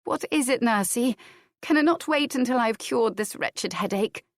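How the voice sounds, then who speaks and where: A woman voicing a proud young noblewoman who gives cold, sharp commands. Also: fairly high pitch, animated, normal speed, no room sound, clean studio sound, pitched above the performer's own voice. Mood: indignant.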